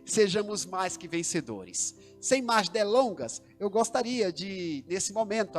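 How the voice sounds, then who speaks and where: A Brazilian pastor with a high voice hosts a church livestream, formal and preacher-like, building emphasis as he invites viewers in. Soft music plays faintly behind him. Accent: Brazilian Portuguese. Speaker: pastor and host.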